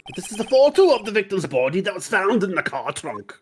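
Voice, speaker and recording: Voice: mid-range voice, big pitch swings, fairly quick, a little hiss or hum, natural voice. A performer voicing a pompous, formal old male judge in a fan dub of a courtroom game. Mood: puzzled.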